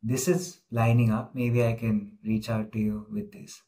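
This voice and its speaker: A man's voice: fairly low pitch, flat delivery, unhurried, warm tone. A man hosting an informal interview over a video call, talking casually with frequent fillers. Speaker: interviewer, host.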